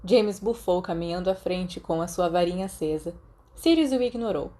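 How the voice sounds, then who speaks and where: A woman's voice: lowish voice, animated, normal speed, dark tone. A woman with a Brazilian accent reads a story aloud as scripted audiobook narration. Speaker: podfic narrator.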